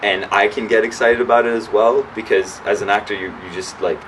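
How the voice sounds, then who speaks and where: A man's voice: on the low side, fairly quick. A young adult man, an actor, chatting casually in an interview and telling stories with lots of restarts and fillers. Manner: lively storyteller, acts out his own past lines. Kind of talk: casual chat. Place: indoor sit-down interview. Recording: distant mic with clear background hum.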